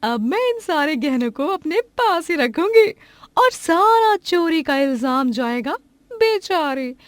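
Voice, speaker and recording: A woman's voice: high voice, big pitch swings, slow pace, dry recording, voice pushed up, heavily acted. A woman voicing a snobbish, envious, scheming female houseguest in a cartoon story, with exaggerated gushing and sneering delivery. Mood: greedy, scheming. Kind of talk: Hindi cartoon moral story acting.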